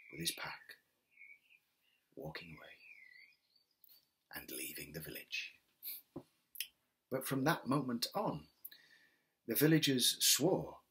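Husky, animated male storyteller. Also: fairly low pitch. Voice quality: husky, breathy. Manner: expressive, unhurried dramatic telling with pauses. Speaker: drama therapist telling a story. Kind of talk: oral storytelling for families. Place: indoors, small wood-panelled home room. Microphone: webcam at desk distance.

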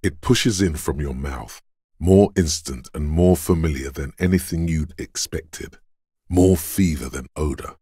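An actor voicing the brooding inner voice of a detective's body in a video game, gravelly and husky, speaking gravely and deliberately with theatrical weight. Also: male voice, a bass voice, dark tone, dry recording, studio quality, heavily acted. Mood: dark, intense. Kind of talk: scripted game narration in second person.

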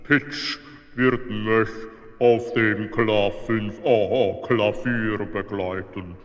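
A man playing a pompous elephant seal recitalist with a drawn-out, ceremonious manner in a children's radio play. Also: lowish voice, steady tone. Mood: self-important. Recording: dull band-limited recording, long reverb.

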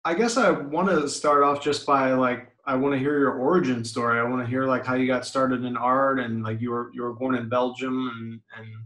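A man's voice: mid-range voice, fairly even intonation, normal speed, hardly any pauses, a bit bright, echoey room. A young American man hosting a casual video call interview, eager and informal, with lots of likes and ums. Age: young adult. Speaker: podcast host. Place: home room indoors. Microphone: video call webcam.

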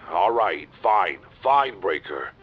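A man's voice: deep voice, very animated, very slow, faint music behind, heavily acted. Man playing an exasperated gangster on muffled speakerphone. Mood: grudging. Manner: weary, pleading, irritated. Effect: speakerphone filter. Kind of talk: audio roleplay acting. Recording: muffled, husky speakerphone sound.

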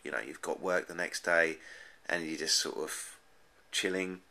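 A man's voice: sits low, fairly even intonation, a little slow, gravelly, bright, forceful tone. A man giving a casual, unscripted app review in a hesitant, rambling way, often repeating his words. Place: indoors at a desk, off screen.

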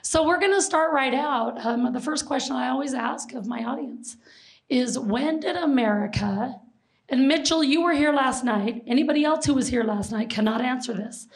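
A middle-aged woman from Seattle speaks to a packed auditorium through a handheld mic, her voice hoarse and gravelly from overuse. She pitches it a touch high and keeps things lively, throwing questions and jokes out to the crowd. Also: moderate pace. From Seattle, Washington. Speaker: presenter and flight attendant. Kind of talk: public history presentation to an audience. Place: large auditorium stage.

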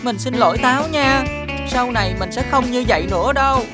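A woman doing a small cartoon schoolboy with a high, bright voice. He is blunt and bossy with his friend, but clearly cares about her. Light music plays behind him. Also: close to an everyday voice. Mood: earnest. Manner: blunt, quick to complain. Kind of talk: cartoon voice acting.

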